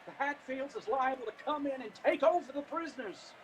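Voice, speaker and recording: An actor playing an agitated Appalachian preacher pleading urgently for lawful order in a radio drama. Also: male voice, very high voice, fairly quick, rough recording.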